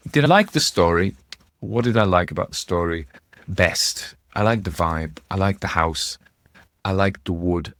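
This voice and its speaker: A man's voice: sits low, no echo. A man giving rambling, informal literary commentary as a host, musing and breaking off his own sentences, with wry asides. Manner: musing, self-interrupting, conversational. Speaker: host and narrator of ghost story readings.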